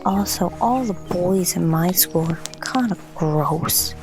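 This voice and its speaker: A woman's voice: fairly low pitch, slow pace. A woman playing a curious, cheeky young daughter of a soldier in an audio drama, inquisitive and teasing. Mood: hesitant, sincere. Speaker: voice actor. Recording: faint music behind the voice.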